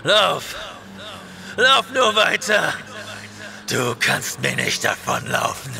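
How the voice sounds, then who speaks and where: A man playing a furious young barbarian warrior in an audio play, gravelly, slow and menacing, with forceful delivery. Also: a little high, sing-song, faint music behind, full character voice. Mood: menacing fury. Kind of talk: dramatic audio play acting.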